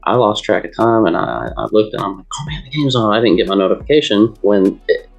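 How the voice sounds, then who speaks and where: Low-voiced man in halting sports chat. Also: sing-song, gravelly, breathy edge, soft background music. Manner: halting, repeats words as he goes. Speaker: co-host or panelist on a sports league show.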